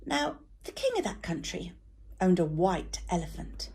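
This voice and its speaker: A woman reading a children's story aloud as a teacher, in an expressive narrating style. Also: on the low side, measured pace, slightly rough.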